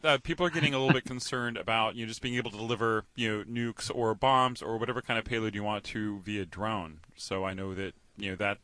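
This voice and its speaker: A man's voice: lowish voice, sharp tone. A man co-hosting a casual tech chat, joking and opinionated. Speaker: podcast co-host.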